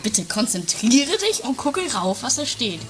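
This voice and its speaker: A woman playing an earnest, slightly pompous instructor who speaks in a halting, placating way in an improvised comic radio play. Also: fairly high pitch, big pitch swings, over music. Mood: patient, insistent.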